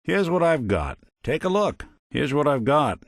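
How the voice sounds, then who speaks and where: Game role: weary middle-aged male android gumshoe, laconic noir wit, lively intonation, dry studio sound. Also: on the high side, unhurried, gravelly, no room sound, exaggerated voice. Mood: easygoing, ready. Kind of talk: video game voice acting, noir detective.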